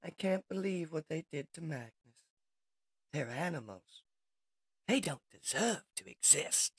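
A woman as a husky, bitter robot fighter. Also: sits low, expressive, unhurried, full character voice. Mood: bitter, angry. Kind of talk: comic dub acting.

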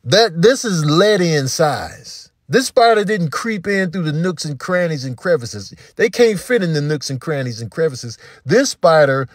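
A man giving comedic, casual commentary in an emphatic, slangy, animated manner. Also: a little high, a little slow, hardly any pauses. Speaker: comedian doing voiceovers and food vlogs.

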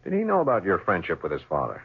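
A man's voice: sits low, wildly swooping pitch, very fast, husky, exaggerated voice. A man playing a businesslike, formal homicide lieutenant in a 1970s radio drama. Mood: probing. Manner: formal, methodical. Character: brisk, businesslike male police homicide lieutenant. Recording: noisy, tinny 1970s radio broadcast.

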